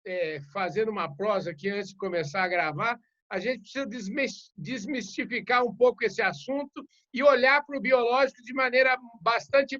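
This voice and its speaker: Chatty male host with a bright, forceful voice. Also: very high voice. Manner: chatty, colloquial, jokey. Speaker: podcast host. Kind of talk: casual interview hosting. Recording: dull lo-fi sound.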